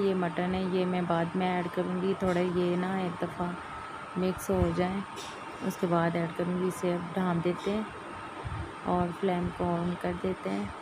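A woman walks through a home cooking recipe step by step, often checking with a quick "theek hai". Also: lowish voice. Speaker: cooking channel host. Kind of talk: casual cooking demonstration. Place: home kitchen, over a stove. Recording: loud kitchen noise behind, sizzling frying.

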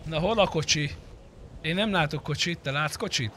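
A man giving casual live commentary on a roleplay game, chatting in slang and swearing as he addresses his viewers and friends. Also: on the high side. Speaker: game streamer. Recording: clearly noisy background.

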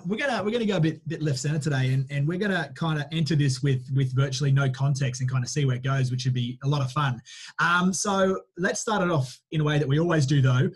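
Give me a relaxed man in his thirties hosting a podcast. He talks quickly and sits a little high in pitch. His voice has a husky edge. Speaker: podcast host. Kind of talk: casual interview chat. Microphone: headphones and studio mic.

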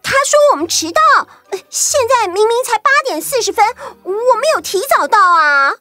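A woman voicing an eager, excitable, childlike detective's sidekick in a children's audio story. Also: very high-pitched, moderate pace, soft background music, heavily acted. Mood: whiny, flustered.

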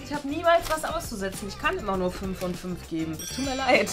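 A woman's voice: mid-range voice, big pitch swings, fast, music in the background. A young adult woman in casual chat as a game guest, recorded by a camera in an indoor room. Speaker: guest playing fair games. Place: indoor craft studio room.

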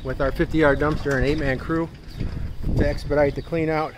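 A man narrates a junk removal job in brisk, repetitive updates, his voice sitting a little high for a man. It was recorded on a handheld phone at the work site, with clear work noise behind him. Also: flat delivery. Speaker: junk removal business owner. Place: outdoors and in a basement at a house cleanout.

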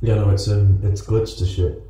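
An actor playing an uneasy technician on a phone call in a horror film, speaking in a halting, naturalistic way. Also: male voice, lowish voice, fairly even intonation, mellow, close to an everyday voice. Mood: distracted, casual. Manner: hesitant, halting, casual swearing. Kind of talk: naturalistic found-footage horror acting. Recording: muffled, roomy, distant, faint noise.

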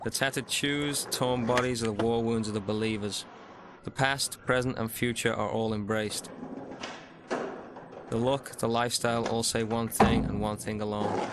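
A man's voice: mid-range voice, fairly even intonation, normal speed. A man reading an earnest, declarative, reflective scripted narration as a skateboarder. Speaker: professional skateboarder. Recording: very loud noise behind voice.

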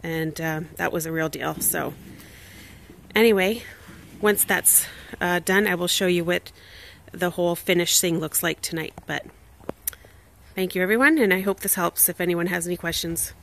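A woman's voice: lowish voice, normal speed, creaky, fairly bright tone, slight background noise. A woman showing a home project on her phone camera in an open garage, talking casually with many ums and restarts. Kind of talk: casual home video walkthrough. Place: open garage and driveway, outdoors. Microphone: phone camera held by the speaker.

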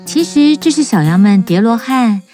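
A woman's voice: on the high side, animated, normal speed, mellow, faint music behind. A woman storyteller giving scripted narration for a children's tale.

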